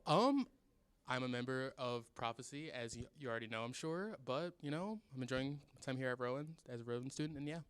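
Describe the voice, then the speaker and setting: A man's voice: mid-range voice, lively intonation, brisk, clear, resonant tone. A young man, a student in an a cappella group, answering interview questions casually, with many fillers. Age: young adult.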